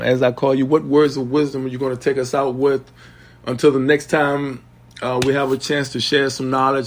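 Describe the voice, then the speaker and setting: A man hosting a late-night podcast, hoarse from a sinus infection, speaking haltingly with many ums and formal, respectful questions. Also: mid-range voice. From East Coast of the United States. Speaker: podcast host.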